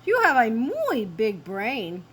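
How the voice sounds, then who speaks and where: A woman's voice: on the high side, huge pitch swings, slow, a bit bright, breathy edge, exaggerated voice. A woman voicing little Chihuahua bandit dogs, eager and grand, with declamatory mock-Spanish phrases in a storybook reading. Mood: bossy, encouraging.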